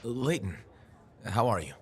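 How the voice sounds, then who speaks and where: An actor playing a calm, earnest male freelancer in a video game cutscene. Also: mid-range voice, unhurried, a little hiss or hum.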